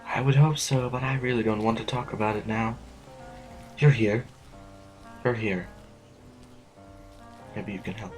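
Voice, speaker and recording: A man's voice: mid-range voice, fairly even intonation, unhurried, long pauses, close to an everyday voice, rough recording. Man acting an anxious, formal official over music. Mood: hesitant, weary. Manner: formal, hesitant, stammering. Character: anxious, formal master official, weary but conscientious. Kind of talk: audio drama acting. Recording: music under the voice.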